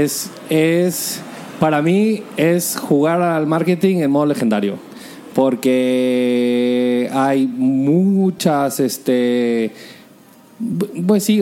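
A man's voice: mid-range voice, dark tone. A man with a Mexican accent talking casually as an interview guest, often searching for his phrasing. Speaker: podcast guest, digital marketing professional. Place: indoors, in a home.

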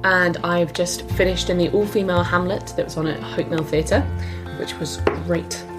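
Female co-host, lowish voice, upbeat and agreeable, music under the talk. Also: fairly even intonation, moderate pace. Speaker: podcast co-host and actress. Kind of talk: podcast intro.

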